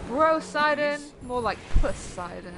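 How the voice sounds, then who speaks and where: Woman gaming w friends, high voice, swears a ton, loud outbursts. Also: expressive, unhurried, loud music behind the voice. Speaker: streamer playing a game. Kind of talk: casual gaming chat with friends.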